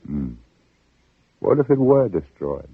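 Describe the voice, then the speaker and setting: A brooding, deep-voiced Southern gentleman of vintage radio. He speaks dramatically and with wide melodic swings, as if living a nightmare. The recording is dull and narrow, with music behind. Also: sing-song, takes it slowly, breathy edge, no echo, heavily acted. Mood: courteous, probing. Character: anguished Southern gentleman planter, narrating his own ordeal. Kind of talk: 1940s-50s radio drama acting.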